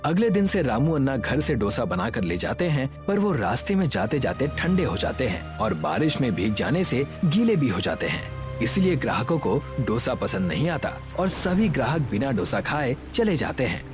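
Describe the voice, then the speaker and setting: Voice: medium pitch, big pitch swings, normal speed. A narrator reading a scripted moral story for children. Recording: music behind the voice.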